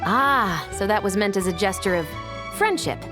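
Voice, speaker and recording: Actress for anime-game musketeer captain, dutiful and measured, mid-range voice over music. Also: female voice, medium pitch, animated, lightly acted. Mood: composed. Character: composed, dutiful young patrol captain and musketeer woman. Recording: music behind.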